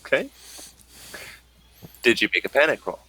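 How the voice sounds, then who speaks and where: A man's voice: medium pitch, big pitch swings, moderate pace, pushed and bright, a little hiss or hum, low-quality recording. A man acting as game master, asking players questions in a tabletop game wrap-up. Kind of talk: running end-of-session tabletop game recap.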